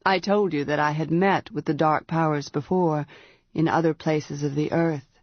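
Woman lowering her voice for a grave, gentle man. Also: steady tone, no echo. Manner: gentle, measured, solemn. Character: grave, calm man, a wizard prisoner. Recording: dull, muffled, dry sound.

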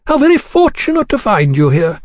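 A man acting out a genteel, coolly threatening gentleman of English high society in an audiobook. He is unfailingly courteous and insinuating, set in a raised register and heard very close and dry. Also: wildly swooping pitch, brisk, no echo. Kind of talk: audiobook character dialogue.

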